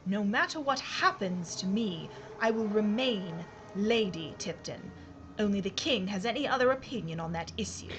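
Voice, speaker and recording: A woman's voice: medium pitch, expressive, moderate pace, highs cut off, background noise, lightly acted. An actress playing a haughty, mischievous aristocratic British lady in a wartime mystery audio drama, arch and wry in manner. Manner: arch, wry, well-bred.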